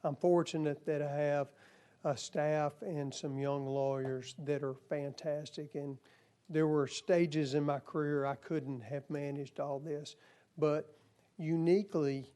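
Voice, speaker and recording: A man's voice: on the high side, fairly even intonation, a little slow, dark tone, smooth. A man testifying at a legislative hearing, earnest and folksy, and he is losing his voice. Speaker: commission nominee.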